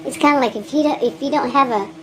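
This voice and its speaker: A woman's voice: sits high, quick pace, fairly bright tone, a little hiss or hum. A woman with a thick Texan accent, vlogging in a rambling, frank monologue, often laughing and restarting her sentences. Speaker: gig delivery vlogger.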